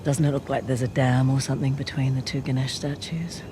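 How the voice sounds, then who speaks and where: A woman's voice: very deep voice, flat delivery, fairly quick, noisy background. A woman playing a daring, wry female treasure hunter in a video game cutscene, with a teasing, flippant manner. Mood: awed, curious.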